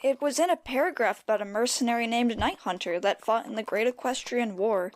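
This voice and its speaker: High-voiced, earnest teenage pony princess, played by a woman. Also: fairly quick, natural voice. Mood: earnest, regretful. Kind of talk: animated-fandom audio drama acting.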